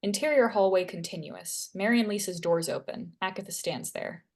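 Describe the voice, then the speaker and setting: A woman's voice: mid-range voice, animated, normal speed, gravelly, a little mellow. A woman reading scripted stage directions as the narrator of a screenplay table read.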